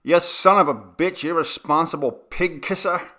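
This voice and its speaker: A man's voice: fairly high pitch, moderate pace, voice pushed up. Man acting an angry, barking, coarse rent collector. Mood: furious, shouting. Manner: shouted, barking abuse and demands.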